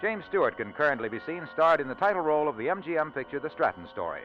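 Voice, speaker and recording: Casting: male 1940s radio pitchman, polished, very lively intonation, slightly dull recording, faint music behind. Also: quick pace. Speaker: radio announcer and sponsor pitchman. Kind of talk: 1940s radio announcing and scripted ad.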